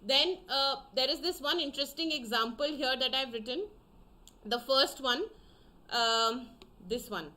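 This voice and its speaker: A young woman teaching an online class, explaining slowly with many fillers and repeating herself for her students. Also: sits high, bright, forceful tone. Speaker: English teacher.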